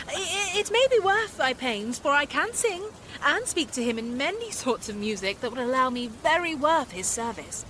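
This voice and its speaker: An actress playing an earnest, quick-witted young shipwrecked noblewoman in a Shakespearean radio drama. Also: high-pitched, a bit bright, full character voice. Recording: noisy outdoor ambience behind voice.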